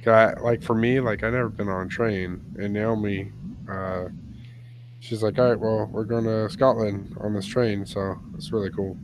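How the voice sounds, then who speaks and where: Laughing low-voiced American man. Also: steady tone, normal speed, background noise. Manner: chatty storyteller, laughs often. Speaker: podcast co-host. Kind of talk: casual chat.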